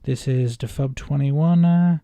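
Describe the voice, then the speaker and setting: A man with a soft-edged, mellow voice in a dry, close recording, talking casually about video games as a reaction host. He rambles hesitantly and often doubles back to reword what he is saying. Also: mid-range voice, unhurried, gravelly, dry recording. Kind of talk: casual unscripted video game commentary.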